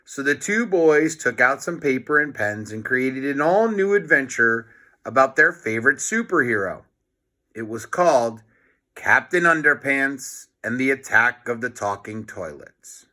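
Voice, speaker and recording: A man narrates a children's storybook at a slow, deliberate pace. His voice is bright and mid-pitched, expressive, and he adds plain explanatory asides as he reads. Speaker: storybook reader.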